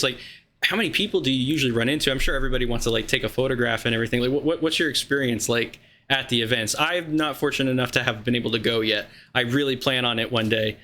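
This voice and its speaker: Bright-voiced eager male podcast host. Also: medium pitch. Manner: curious, eager questions with stammered restarts. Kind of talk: casual podcast chat.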